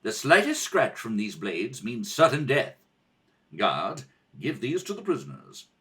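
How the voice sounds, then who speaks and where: Imperious rebel prince played by a man: low, stern, suspicious, commanding radio villainy. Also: wildly swooping pitch, a little slow, fairly bright tone. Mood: grim. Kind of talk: old radio serial acting.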